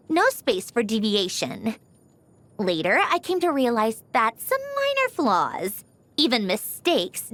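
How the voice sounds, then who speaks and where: An actress voicing a composed, refined young woman in a game, gently haughty and reflective, with formal, measured, eloquent diction. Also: fairly high pitch. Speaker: voiced game character. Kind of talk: scripted character voice lines.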